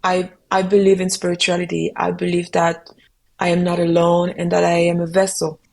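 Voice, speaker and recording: Soft-toned reflective French-speaking woman. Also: on the low side, monotone, normal speed, gravelly, slight background noise. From Belgium. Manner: reflective, searching for words with frequent ums. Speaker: musician guest. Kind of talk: podcast interview answers.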